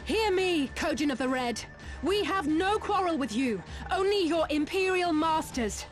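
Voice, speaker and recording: High-voiced, determined young heroine. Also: female voice, lively intonation, a little slow. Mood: earnest appeal. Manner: earnest, resolute, declarative. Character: determined, noble young female resistance fighter. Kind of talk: dramatic game cutscene acting. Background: music.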